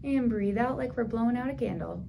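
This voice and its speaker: A woman in her thirties leading a toddler storytime, speaking gently in simple phrases for small children as she reads aloud and comments. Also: a little high, fairly quick, gravelly, a little hiss or hum. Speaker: children's librarian, storytime host. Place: small indoor room at a library.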